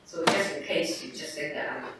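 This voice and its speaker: A woman's voice: low-pitched, normal speed. An older woman from Singapore, a surgeon giving an informal lecture to medical students and checking in with "right?" and "okay?". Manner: conversational, tags points with "right?" and "okay?". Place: large echoey lecture hall. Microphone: distant room mic. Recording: echoey hall, distant mic, noisy.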